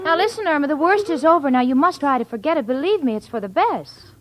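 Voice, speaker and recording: A woman's voice: high-pitched, lively intonation, fast talker. An actress playing a sensible, motherly young woman in an old radio sitcom, coaxing and emphatic. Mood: comforting, coaxing. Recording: noisy old radio recording with audience.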